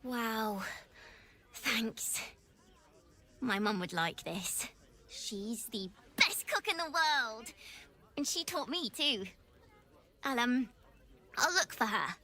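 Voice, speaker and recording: Game child role: high, bright, timid and earnest, slow halting delivery with lively swings. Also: female voice, full character voice. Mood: grateful, shy. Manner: hesitant, halting. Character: timid, earnest young child looking for a sick mother.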